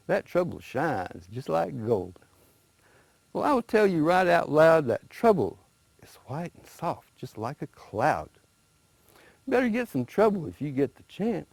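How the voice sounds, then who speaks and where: A sly rabbit trickster from a told folk tale, coaxing and folksy, his pitch rolling up and down, as a storyteller puts him on. Dry, close sound. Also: gravelly, a little mellow, no room sound, full character voice. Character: sly, smooth-talking male rabbit trickster.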